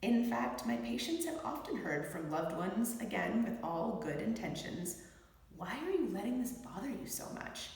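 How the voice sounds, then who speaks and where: A middle-aged woman, a physician, reading her own book aloud in an expressive, measured and deliberate way. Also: medium pitch. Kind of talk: expressive audiobook-style read-aloud. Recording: very echoey room with long reverb.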